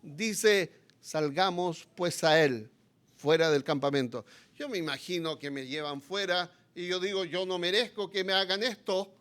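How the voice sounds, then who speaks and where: A man with a Chilean accent, preaching a sermon as pastor, swinging between loud, impassioned appeals and quiet, low passages. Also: measured pace.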